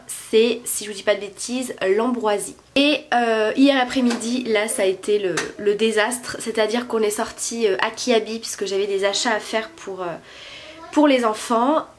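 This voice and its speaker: A woman's voice: medium pitch, slight background noise. A woman, chatting casually in a home vlog with a stuffy nose from a cold, hesitating often with fillers. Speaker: vlogger. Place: at home, sitting on her bed.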